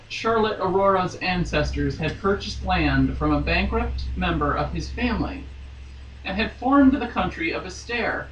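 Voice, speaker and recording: A woman reading a novel aloud dramatically, breaking off for playful, mock-dramatic asides. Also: on the low side. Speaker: podcast guest reading a romance novel aloud. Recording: dull, roomy, distant mic, faint noise.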